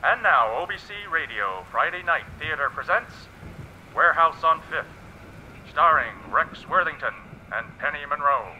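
An actor playing a formal radio theater announcer in a 1930s style. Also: male voice, a little high, expressive, moderate pace, close to an everyday voice. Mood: grand. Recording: dull, noisy old radio sound.

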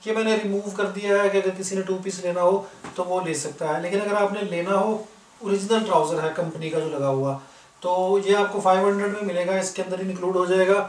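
High-voiced Pakistani salesman, roomy distant sound. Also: steady tone, a little fast. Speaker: shop seller, video host. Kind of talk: informal product demonstration sales talk. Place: indoor room, goods spread on floor. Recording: roomy, distant mic, faint noise.

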